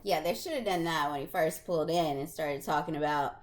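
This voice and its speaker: A woman's voice: low voice, fairly quick. A woman co-hosting a casual book discussion, offering her opinions in a conversational way. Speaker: podcast co-host.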